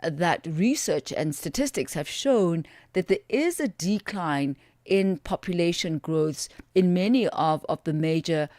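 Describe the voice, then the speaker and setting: A woman's voice: on the low side, lively intonation, unhurried, a little mellow, no echo, clean studio sound. A woman hosting a radio business show, asking long, formal questions sprinkled with "uh" and "um". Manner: formal, with filler "uh" and "um".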